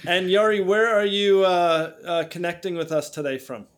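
A man's voice: high voice, fairly even intonation, moderate pace, a bit bright. A man hosting a podcast who talks in a friendly, chatty way and often stumbles and repeats words. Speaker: podcast host. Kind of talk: podcast interview.

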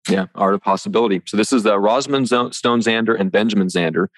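A man's voice: on the low side, fast talker, dry recording, low-quality recording. A man from the American Midwest hosting a podcast interview, friendly and rambling. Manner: friendly, rambling with fillers and restarts. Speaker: podcast host.

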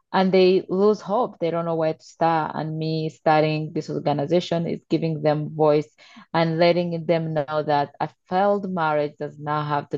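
A woman's voice: low-pitched, darkish tone, dry recording, dull audio. A young woman from Congo, raised in Uganda, earnestly answering interview questions in an informal way. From Democratic Republic of Congo, raised in Uganda. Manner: earnest, informal with fillers like "kinda" and "like". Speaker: guest, nonprofit founder.

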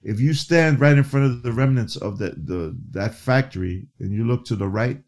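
A man from New City, a guest and educator, telling stories in a casual interview, animated and often restarting his phrases. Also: medium pitch, normal speed. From New York City. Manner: animated, restarts phrases, frequent "you know".

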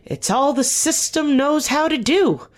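An actor playing a weary, guarded veteran team leader in an audio drama, speaking earnestly and haltingly with dry wit. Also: high-pitched, expressive. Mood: frustrated, weary. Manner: earnest, halting, self-correcting, dryly wry. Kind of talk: naturalistic audio drama dialogue.

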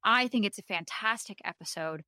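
A woman co-hosting a podcast in casual chat, thoughtful and measured, with plenty of "like" fillers. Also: medium pitch, pushed and bright, smooth, dry recording, studio quality. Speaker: podcast co-host. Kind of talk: casual chat about a TV episode.

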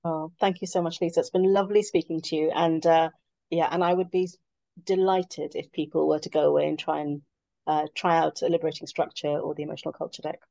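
A woman's voice: low voice, steady tone, fairly quick, vocal fry, mellow, no room sound, lo-fi sound. A woman interviewed as a guest consultant, speaking in a reflective, hesitant way. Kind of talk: conversational interview.

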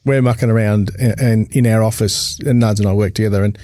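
A man's voice: fairly low pitch, fairly even intonation, fast, warm tone, no room sound, clean studio sound. A middle-aged Australian man co-hosting a casual interview in an informal, colloquial way. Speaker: co-host interviewer.

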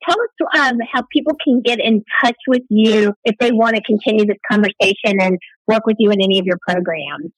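A woman's voice: medium pitch, brisk, dry recording, rough recording. A woman co-hosting a talk radio show, upbeat as she asks questions and reads out promotions.

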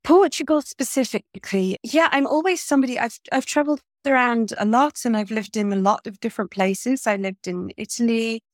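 A woman's voice: on the high side, brisk, few pauses, no echo, clean studio sound. A middle-aged woman from England, a guest in a podcast interview, talking in a chatty, thoughtful way with many fillers and restarts.

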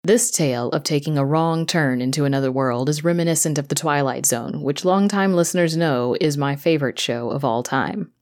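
Low-voiced woman hosting a podcast. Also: moderate pace, hardly any pauses, a little mellow. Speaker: podcast host and producer. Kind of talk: scripted podcast introduction.

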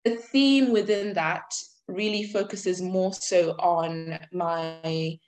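A woman in her twenties from Zimbabwe, a guest on a podcast, answering thoughtfully with reflective pauses. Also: lowish voice, fairly even intonation, a little mellow. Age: 20s. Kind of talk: conversational interview answers.

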